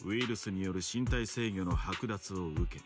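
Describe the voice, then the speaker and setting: A man's voice: sits low, natural voice. An actor playing a formal, authoritative male investigator who delivers a case briefing in a video game. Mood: formal, serious. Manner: formal, measured wording, businesslike. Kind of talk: Japanese game voice acting. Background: game music.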